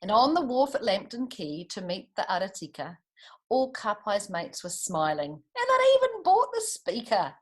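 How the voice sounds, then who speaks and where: A New Zealand woman reads aloud for young listeners in a mid-range voice. Her delivery is expressive, and she moves between storybook narration and chatty, teacherly asides. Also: mid-range voice, moderate pace. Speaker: storytime reader for children. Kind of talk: animated picture-book read-aloud with chatty asides to children.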